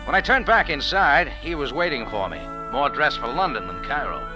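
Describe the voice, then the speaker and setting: Male lead as American expatriate adventurer, vintage radio drama with music: mid-pitched, lively inflection, sardonic tough-guy wit. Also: fairly quick, lightly acted. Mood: wry narration. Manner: sardonic, dry, slangy. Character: wry, tough American café owner and adventurer. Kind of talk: first-person radio adventure narration and dialogue.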